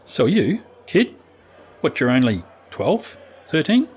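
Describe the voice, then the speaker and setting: A man's voice: fairly high pitch, big pitch swings, slow, darkish tone. A man acting a wary, menacing thief and gang leader with formal, guarded speech in a fantasy audio drama. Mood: wary, stern.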